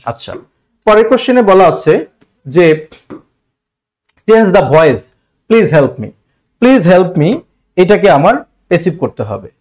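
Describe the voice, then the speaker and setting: A man's voice: high-pitched, big pitch swings, slow, pauses often, a little mellow. A man with a Bangladeshi accent teaching an exam-prep lesson in a colloquial way, asking rhetorical questions and repeating key phrases. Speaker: online English grammar teacher.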